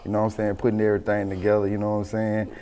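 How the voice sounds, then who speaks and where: A man with a Southern US accent in a casual interview, telling stories in animated, slangy talk full of verbal tags. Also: lowish voice, racing, smooth, big-room sound. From born in Mississippi, raised in Atlanta. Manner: animated, slangy, repeats "you know what I'm saying". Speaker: rap group member being interviewed. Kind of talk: casual storytelling chat.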